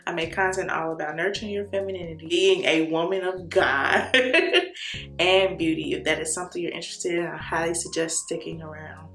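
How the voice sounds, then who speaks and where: A young woman with a Chicago accent, talking to the camera in an energetic, slangy way and laughing often. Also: fairly low pitch, normal speed. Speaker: vlogger. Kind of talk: casual talk to camera. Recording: echoey room with soft guitar music.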